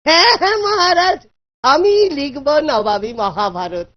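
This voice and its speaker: A woman voicing a wily, confident male court jester in a cartoon, measured and slyly deferential. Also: big pitch swings, a bit bright, full character voice. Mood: sly confidence. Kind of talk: Bengali cartoon voice acting. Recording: dull low-fidelity audio.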